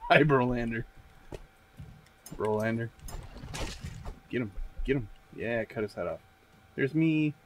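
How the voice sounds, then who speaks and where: A man's voice: medium pitch, slow pace, long pauses. A man chatting casually and jokingly as a co-host of a gaming let's play. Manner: jokey, laughs often. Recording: clear background game noise.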